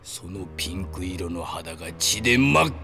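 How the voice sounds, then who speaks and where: A man acting a sadistic, lewd killer in an audio drama, gloating over a victim with a gravelly voice. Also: sits low, expressive, sharp tone, soft background music, heavily acted. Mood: leering, gloating. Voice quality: creaky, gravelly. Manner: slow, lewd, gloating with cackling laughs. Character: sadistic leering male killer savoring his victim. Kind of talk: Japanese anime-style audio drama acting.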